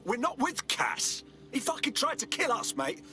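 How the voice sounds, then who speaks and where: A man's voice: very high-pitched, lively intonation, normal speed. An actor playing an urgent resistance operative, a man with a blunt, sweary, persuasive manner. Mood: exasperated. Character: urgent, insistent male underground resistance operative. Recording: loud music under the voice.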